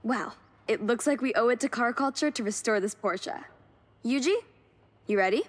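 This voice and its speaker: A woman's voice: fairly high pitch, normal speed, natural voice. A woman playing a keen car hunter in a video game, speaking with enthusiasm and admiration. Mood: admiring, friendly. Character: keen young woman car hunter finding lost classics. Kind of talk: scripted video game dialogue.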